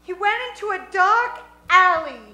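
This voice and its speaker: Ditzy young blonde dame, earnest and defensive, extremely high squeaky voice, roomy sound, old-style radio comedy. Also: female voice, animated, normal speed, bright, forceful tone, soft background music, exaggerated voice. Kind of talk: comic 1940s detective radio-play acting.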